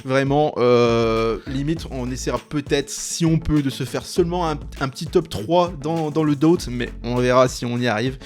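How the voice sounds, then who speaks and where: A man's voice: medium pitch, moderate pace, hardly any pauses, faint music behind. A man in his late twenties hosting a video, chatting casually and explaining a tier list in an informal, rambling way. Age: late 20s. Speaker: YouTube host.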